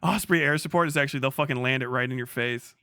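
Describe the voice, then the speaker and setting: A man's voice: mid-range voice, quick pace, pushed and bright, smooth, dry recording, clean studio sound. A man giving casual, off-the-cuff livestream commentary as a military veteran, slangy and full of swearing. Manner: profane, slangy.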